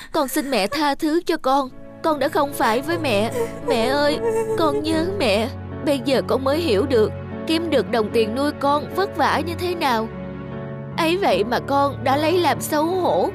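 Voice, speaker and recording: A woman's voice: sits high, lively intonation, a little slow, music in the background. A woman playing an emotional teenage daughter in a cartoon, devoted to her mother but easily ashamed. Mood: remorseful. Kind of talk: cartoon voice acting.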